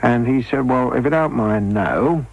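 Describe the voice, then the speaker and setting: A man reminiscing in an interview, telling anecdotes in a measured, halting way. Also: mid-range voice, gravelly, slightly rough, narrow, tinny audio.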